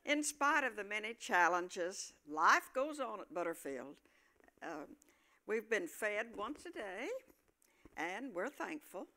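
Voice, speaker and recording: A woman narrates a scripted comic monologue in a bright, forceful voice. Her delivery is slow, deliberate and wry, with lively swings in pitch. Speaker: narrator of a staged reading.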